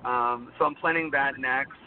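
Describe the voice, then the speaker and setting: A man in his thirties, a guest performer, chatting in a rambling way with a voice worn low from screaming. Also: steady tone, moderate pace. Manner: rambling, heavy use of "like". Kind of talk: casual interview chat. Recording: tinny phone-like line, faint noise.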